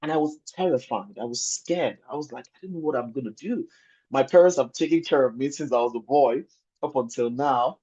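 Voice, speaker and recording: A man's voice: mid-range voice, a little fast, mellow, breathy edge. A man in his thirties with a Nigerian accent, giving an informal webinar talk about himself, conversational and rephrasing for emphasis over an online call. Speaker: webinar speaker. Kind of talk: informal presentation telling a personal story. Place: online video call with screen sharing. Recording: muffled lo-fi online call audio.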